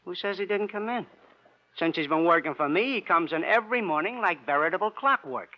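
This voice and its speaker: High-voiced, wisecracking New York garage mechanic. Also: male voice, animated, brisk. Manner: streetwise, rambling, wisecracking. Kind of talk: 1950s radio crime drama acting. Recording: dull, noisy old radio recording.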